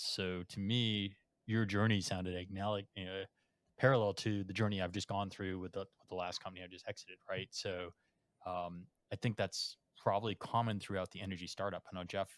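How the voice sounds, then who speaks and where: A male podcast host with a low voice, recorded dry and close. He talks in a conversational way, thinking aloud with "um" as he builds up to his question. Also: no echo. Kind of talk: podcast interview.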